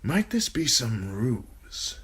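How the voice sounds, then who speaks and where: A woman's voice: a bass voice, sing-song, very slow, fairly bright tone, voice pushed up, exaggerated voice. A woman voicing a wry, mocking male lord of the council in an audiobook.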